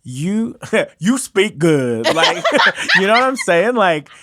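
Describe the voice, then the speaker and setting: A man's voice: high voice, very animated, takes it slowly, pushed and bright, no echo. Middle-aged guy gushing, wordy and laughy, dry close studio mic. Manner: effusive, wordy, laughs often, searches for words. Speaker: freestyle MC and podcast guest. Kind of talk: casual conversation. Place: small studio room. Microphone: studio mic on stand, headphones.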